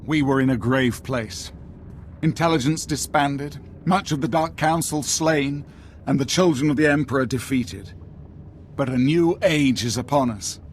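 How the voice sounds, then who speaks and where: An actor playing a proud, grave imperial war leader in a video game, speaking in formal, measured oratory. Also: male voice, mid-range voice, a little hiss or hum, natural voice. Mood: solemn pride.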